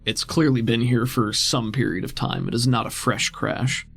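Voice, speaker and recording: A man's voice: mid-range voice, fairly even intonation, moderate pace, vocal fry, a bit bright. A man acting as game master, describing scenes in a conversational storytelling way for a tabletop roleplaying game. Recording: music behind the voice. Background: background music.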